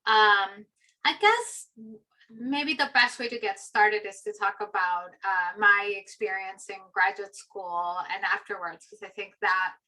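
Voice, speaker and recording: A woman's voice: medium pitch, a little slow, pushed and bright, rough recording. A middle-aged woman from Peru, a philosophy professor, chatting reflectively about her path with many fillers. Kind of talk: conversational personal story.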